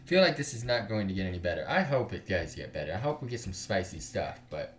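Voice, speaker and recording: A man's voice: fairly low pitch, big pitch swings, quick pace, roomy sound. A man hosting a game stream, mixing blunt, slangy commentary with reading the game's text aloud.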